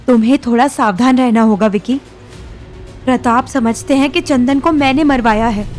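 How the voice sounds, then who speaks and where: A woman playing a wronged, anxious young married woman in an audio drama, earnest, pleading and insistent. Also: sits high. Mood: pleading, fearful. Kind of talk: Hindi audio drama acting. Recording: music bed under the voice.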